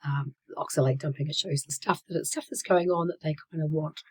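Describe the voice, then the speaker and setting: A woman in her sixties talking casually to her channel, hoarse and croaky from a cold, with many fillers and restarts, sometimes dropping to a whisper. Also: sits low, steady tone, brisk. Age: 60s. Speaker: channel host. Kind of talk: casual vlog talk.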